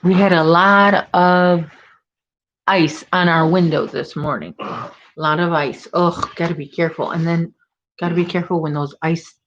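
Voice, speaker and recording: A woman's voice: fairly low pitch, fairly even intonation, moderate pace, a bit bright, dull audio, a little hiss or hum. A woman with a Chicago accent hosting a casual crochet livestream from home, chatting and teasing while she works. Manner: chatty, reads viewer comments, teasing.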